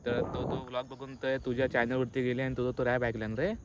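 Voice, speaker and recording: A young man chatting casually as a vlogger, teasing and often halting or repeating himself, with some noise around him. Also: mid-range voice, monotone. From Maharashtra, India. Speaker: vlogger making a prank call. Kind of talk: casual chat, playful vlog.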